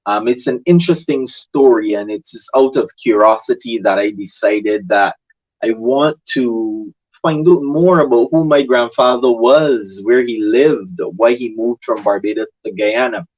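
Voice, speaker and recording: A man's voice: medium pitch, a little slow, darkish tone, highs cut off. A man hosting an interview, asking probing questions and steering his guest. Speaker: host.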